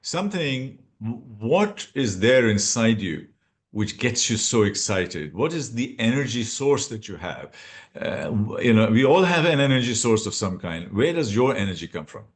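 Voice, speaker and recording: A man's voice: medium pitch, big pitch swings, moderate pace. A man hosting a podcast interview, asking long questions and searching for words as he goes. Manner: rambling, searching questions with fillers. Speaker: podcast host.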